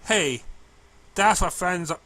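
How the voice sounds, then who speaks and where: Casting: male reader as kindly, scatterbrained cartoon pony mailmare, high-pitched, forceful, bumbling and bubbly. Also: no echo, heavily acted. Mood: affectionate. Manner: bubbly, drawn-out words, bumbling. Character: cheerful, scatterbrained, kindly female pegasus pony mailcarrier.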